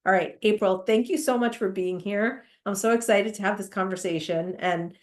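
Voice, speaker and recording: A woman's voice: medium pitch, moderate pace. A woman hosting a podcast in an effusive, enthusiastic way, sounding nasal from a cold. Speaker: podcast host. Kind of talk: podcast introduction and interview.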